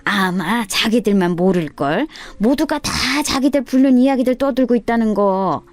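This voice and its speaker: A woman voicing a nosy, chummy older female cleaner in an animated, gossiping way for a story reading. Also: fairly high pitch, normal speed, dry recording, faint music behind, close to an everyday voice. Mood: disapproving gossip. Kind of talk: dramatised radio story reading.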